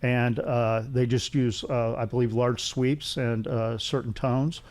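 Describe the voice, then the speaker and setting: A middle-aged or older man giving casual technical explanations as an interview guest, in a halting, rambling way with repetition. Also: mid-range voice, level tone, a little mellow. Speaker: interview guest, loudspeaker engineer.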